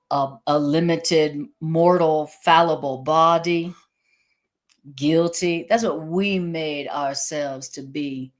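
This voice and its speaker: A woman leading a live-streamed spiritual class in a chatty way, laughing easily, with a loud voice, into a microphone. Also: low voice. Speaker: spiritual teacher. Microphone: desk microphone.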